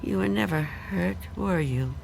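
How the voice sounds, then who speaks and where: An actress playing a frightened, fragile old woman in a radio drama, tremulous, pleading and halting. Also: on the low side, gravelly, a little mellow, soft background music. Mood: stunned. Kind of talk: dramatic radio play acting.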